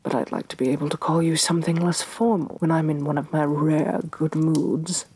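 A woman playing a domineering, vain evil sorceress queen in a soft-spoken ASMR fantasy roleplay, imperious and sardonic, with breathy condescension. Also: low voice, little pitch movement, normal speed, a little hiss or hum, lightly acted. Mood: dismissive, amused. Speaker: voice actress.

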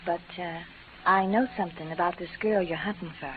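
A woman's voice: lowish voice, fairly quick, close to an everyday voice. Sly, teasing saloon woman played by an actress. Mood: taunting. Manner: teasing, insinuating. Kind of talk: 1940s-50s radio western acting. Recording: muffled, noisy vintage radio recording.

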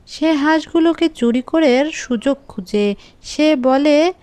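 A woman's voice: sits high, slow, a little mellow, no room sound. A woman reading a scripted children's fairy tale as the narrator of a cartoon story.

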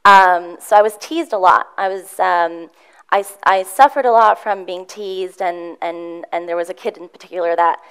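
A woman's voice: fairly low pitch, normal speed, clean tone, poor audio. A young woman from Switzerland giving an earnest, heartfelt motivational talk on a conference stage, full of ums and restarts. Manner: earnest, warm storytelling with frequent ums and restarts. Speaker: motivational speaker. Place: conference room with audience.

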